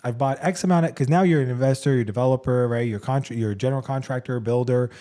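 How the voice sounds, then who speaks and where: A man's voice: mid-range voice, fast, mellow. A man hosting a casual interview as a realtor, effusive and emphatic, repeating words for stress. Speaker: podcast host, realtor.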